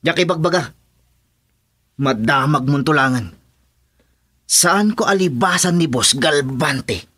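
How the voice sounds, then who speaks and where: A man's voice: on the high side, animated, slow pace, long pauses, sharp tone, husky, exaggerated voice. A man playing a wary henchman who takes orders from his boss in a radio drama. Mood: defensive.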